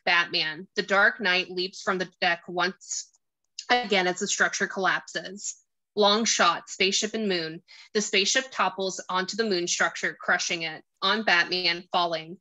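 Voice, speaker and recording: A woman's voice: on the low side, pushed and bright, rough recording. A woman narrating a screenplay, reading out stage directions and scene descriptions.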